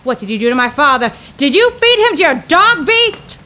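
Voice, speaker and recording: A woman's voice: sits high, sing-song, moderate pace, bright, forceful tone, full character voice. A woman playing a feisty, suspicious young 1930s woman in a radio comedy drama, brash and theatrical, full of period slang. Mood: combative, indignant.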